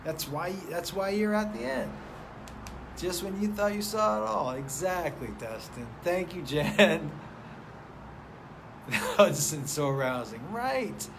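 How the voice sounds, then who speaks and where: A man's voice: sits high, expressive, slow, creaky, a crowd in the background. A man hosting a casual live stream, chatting loosely and profanely, reading out viewer names and rambling. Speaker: host of a live stream show. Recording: noticeable background noise.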